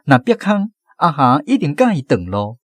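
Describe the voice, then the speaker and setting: Narrator: Taiwanese man, fairly high voice, lively swinging intonation, radio drama storytelling. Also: moderate pace, no room sound.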